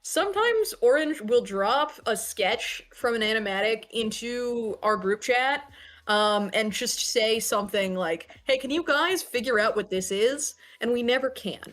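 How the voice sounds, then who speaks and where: A woman's voice: on the high side, expressive, measured pace, fairly bright tone. A woman co-hosting a livestream, playfully teasing and reading viewer comments aloud. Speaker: co-host reading chat. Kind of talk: casual livestream chat.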